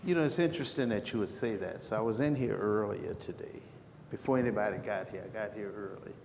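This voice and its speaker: Gravelly-voiced man speaking in an echoey hall. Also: on the low side, quick pace, a little mellow. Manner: conversational with frequent restarts. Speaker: panelist. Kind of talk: conference panel answer. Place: conference breakout room. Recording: echoey hall with background noise.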